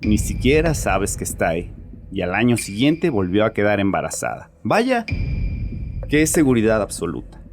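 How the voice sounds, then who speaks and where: A bitter, self-justifying father confesses his troubles in a horror audio drama. His low voice is sardonic and defensive, with music behind it. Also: huge pitch swings, moderate pace.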